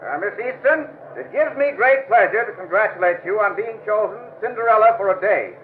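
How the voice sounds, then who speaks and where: A man's voice: high-pitched, lively intonation, a little fast. A man acting a nervous amateur speaker giving a stilted, formal ceremonial speech in a radio drama. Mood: stiff, formal. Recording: muffled, hissy old radio broadcast.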